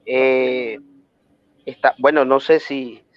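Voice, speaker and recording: A man's voice: mid-range voice, steady tone. A man from Nicaragua with a Nicaraguan accent moderating an online debate, speaking formally with frequent hesitations. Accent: Nicaraguan Spanish. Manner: measured, formal, many hesitations. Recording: dull lo-fi online stream audio.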